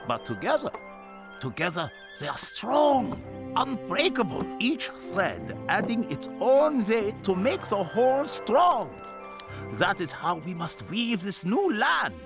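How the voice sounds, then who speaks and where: An actor playing an earnest colonial working man in an animated series, speaking deliberately and emphatically with heartfelt conviction. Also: sits high, gravelly, exaggerated voice.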